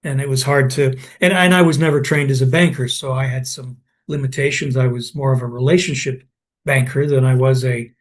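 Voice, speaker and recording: A man's voice: medium pitch, steady tone, a little fast. Older man recalling his career. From Canada. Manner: rambling, anecdotal, many restarts and fillers. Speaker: interviewee. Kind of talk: oral history interview.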